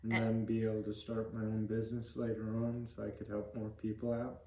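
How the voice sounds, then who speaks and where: A man's voice: lowish voice, completely flat delivery, brisk, mellow, a little hiss or hum. A young adult man giving plain, brief answers as a guest in a casual interview. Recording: roomy echo.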